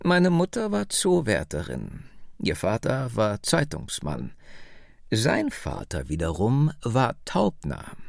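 A man reading the lines of a wry, nearly hundred-year-old woman telling her family's story, slowly and slyly. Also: medium pitch, huge pitch swings, gravelly, no room sound, studio quality, exaggerated voice. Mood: reminiscing. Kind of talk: radio book reading.

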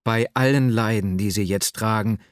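A man's voice: on the low side, normal speed, no room sound, clean studio sound, close to an everyday voice. A man playing an earnest, lovesick young man in an audiobook, agitated, self-doubting and urgent. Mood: confessional. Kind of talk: dramatic literary audiobook reading.

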